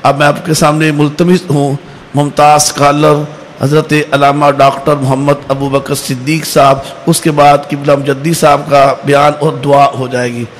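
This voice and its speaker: A man's voice: on the high side, flat delivery, normal speed, low-quality recording. A middle-aged Pakistani man acting as master of ceremonies, making formal stage announcements into podium microphones. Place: stage at a religious convention. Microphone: podium microphones through a PA. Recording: live PA with faint background noise.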